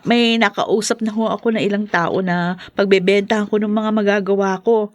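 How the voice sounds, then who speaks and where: An earnest young woman played by an actress in a radio play, polite and hopeful toward her elder. Her voice sits at a medium pitch, recorded dry and close. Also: fairly even intonation, moderate pace, no echo, natural voice. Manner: respectful, earnest. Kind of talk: radio drama acting.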